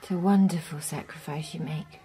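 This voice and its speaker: A woman's voice: sits low, fairly even intonation, normal speed, warm tone, natural voice. An actress playing an earnest, wistful young married woman in a radio drama. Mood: earnest, grateful. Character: wistful, earnest young married Englishwoman among convent nuns.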